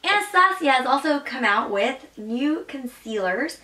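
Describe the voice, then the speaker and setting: A woman's voice: high voice, lively intonation, a little slow, sharp tone, reverberant, distant mic. Bubbly young woman reviewing makeup. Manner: bubbly and gushing, demonstrating makeup while talking. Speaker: beauty vlogger. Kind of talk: casual product review. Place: home makeup room indoors.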